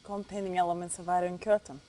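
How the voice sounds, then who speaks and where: A woman's voice: fairly low pitch, flat delivery, fast talker, warm tone, lightly acted. Woman acting a cool Soviet briefing officer. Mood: cool, businesslike. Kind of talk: dramatic game briefing.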